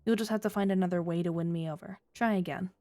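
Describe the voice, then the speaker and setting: A woman's voice: lowish voice, steady tone, fast talker, warm tone, close to an everyday voice. A woman playing a flirty, scheming underworld informant in an ASMR audio drama, with a teasing, coy, mock-sweet delivery. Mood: sly, teasing.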